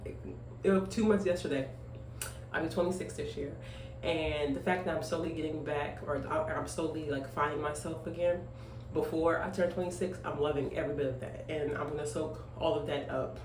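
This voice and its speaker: Chatty young woman in an echoey room. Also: low voice. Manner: chatty, rambling, filler-heavy, emphatic "okay?" asides. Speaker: vlogger, young single mother. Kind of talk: casual chat while grooming. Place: at home. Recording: echoey room, voice off-mic, faint noise.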